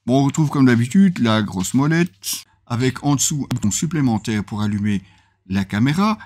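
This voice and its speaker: A man speaks as the host of a product review video. His voice sits at an average pitch. He explains features in a semi-scripted way, with small hesitant pauses inside his sentences. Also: lively intonation, a little mellow.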